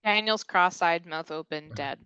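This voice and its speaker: A woman's voice: fairly low pitch, moderate pace, bright, forceful tone, smooth, dry recording, dull audio. A woman reading stage directions as the narrator of a scripted comedy table read.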